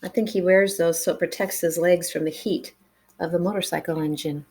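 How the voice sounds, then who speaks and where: A woman reading a picture book aloud to children in an expressive way, with dramatic pauses and chatty asides to the listeners. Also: on the low side. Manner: animated, dramatic pauses, engages young listeners with questions.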